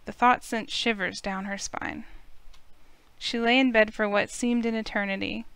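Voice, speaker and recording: A woman narrates a visual novel in a bright, forward voice with noticeable creak. It is expressive scripted reading, close and dry, over faint music. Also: fairly high pitch, normal speed, no echo. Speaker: narrator and playthrough host.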